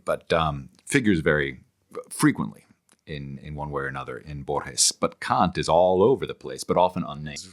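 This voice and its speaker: A man speaking as an interview guest, explaining at length in a scholarly way and often halting mid-phrase to search for words. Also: sits low. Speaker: podcast guest, author on philosophy and literature.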